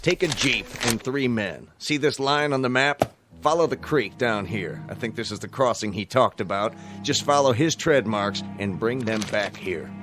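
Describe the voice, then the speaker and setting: An actor playing a commanding army captain in a radio drama, authoritative, clipped and sardonic. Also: male voice, mid-range voice, lively intonation, normal speed, close to an everyday voice. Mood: stern. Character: stern, exasperated, commanding male National Guard captain. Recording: music bed under radio drama dialogue.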